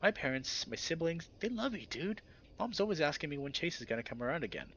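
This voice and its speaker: Man voicing an earnest young wolf with swooping pitch. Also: sing-song, quick pace, fairly bright tone, a little hiss or hum. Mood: admiring. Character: young male anthropomorphic wolf, earnest, rough-edged, affectionate friend. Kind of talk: visual novel character read-aloud. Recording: slightly dull recording with background music.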